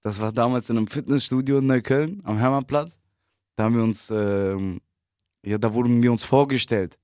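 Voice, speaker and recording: A man in his twenties from Berlin, a rapper guest giving relaxed, short answers with easy laughs. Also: medium pitch, normal speed, clean tone, no echo, clean studio sound. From Berlin, Germany. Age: 20s. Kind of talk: casual interview chat.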